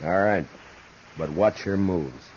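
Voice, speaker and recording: A man's voice: low voice, slow, darkish tone. An actor playing a terse, resolute American lawman in a 1950s radio western. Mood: stern. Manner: terse, commanding. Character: stern, resolute frontier US marshal, grown man. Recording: muffled, noisy 1950s radio recording.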